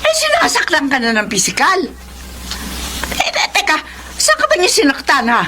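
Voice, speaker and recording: A woman's voice: extremely high pitch, huge pitch swings, moderate pace, sharp tone, a little hiss or hum, exaggerated voice. A woman playing a protective, indignant mother in a Filipino radio drama, scolding and emphatic. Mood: indignant, alarmed.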